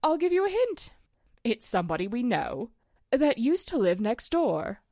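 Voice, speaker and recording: A woman's voice: on the high side, very animated, moderate pace, mellow, dry recording, clean studio sound. A woman acting a chatty, coy small-town 1940s housewife who loves gossip, in a radio drama. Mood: playful, gossipy.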